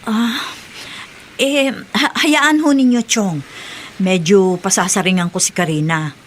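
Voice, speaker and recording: A woman's voice: medium pitch, slow, slight background noise, lightly acted. A woman with a Filipino accent playing a respectful, cautious schoolteacher in a radio drama. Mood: curious, cautious. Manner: respectful, hesitant. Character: tactful, respectful female schoolteacher, friendly and cautious.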